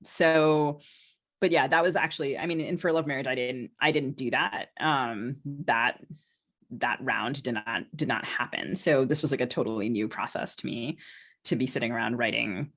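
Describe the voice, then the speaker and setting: A woman's voice: very deep voice, moderate pace, fairly bright tone. Woman podcast co-host, hesitant and discursive. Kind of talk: casual podcast conversation.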